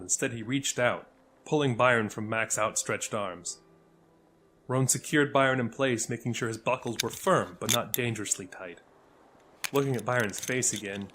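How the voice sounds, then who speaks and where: A man reading scripted fantasy narration as a storyteller, with dramatic, deliberate delivery. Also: lowish voice, noisy background.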